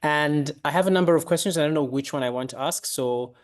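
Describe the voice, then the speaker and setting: A man's voice: a little high, quick pace. A man from Uganda, an applicant, asking questions on a video call, polite and repeating himself as he searches for words.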